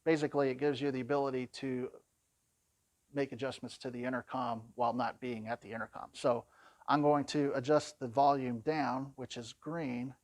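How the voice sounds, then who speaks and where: A man with a somewhat low voice talks through a product demonstration. He explains in plain, conversational language, informally and step by step. The sound is dry, with very little room in it. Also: fairly even intonation, moderate pace, a little mellow, no room sound.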